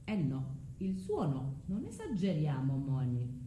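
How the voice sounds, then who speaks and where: An actress playing a smug, condescending husband in a tragic stage monologue, patronising and mixing in Spanish words. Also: female voice, low-pitched, wildly swooping pitch, unhurried, dark tone, a little hiss or hum, full character voice. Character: Jason, smug condescending husband, a grown man. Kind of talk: solo stage monologue of classical tragedy. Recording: roomy stage sound with music.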